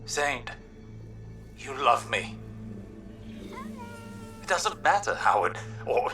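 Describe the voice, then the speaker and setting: A man's voice: medium pitch, very animated, slow, bright, forceful tone, distant mic, faint music behind, full character voice. An actor playing an emotional, insistent male lover in a video game drama. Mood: pleading.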